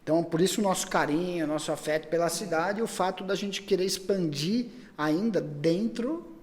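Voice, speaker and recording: A man's voice: sits high, brisk, in a large hall. A middle-aged man, talking at length in a casual podcast chat and often restarting his words. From Brazil. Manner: talks at length, many repeated words and restarts. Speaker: pet store chain owner, guest.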